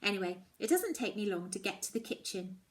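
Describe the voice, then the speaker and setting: A woman reading a children's novel aloud, expressive and dramatic, often dropping toward a hushed tone. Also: medium pitch, fairly quick. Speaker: story reader.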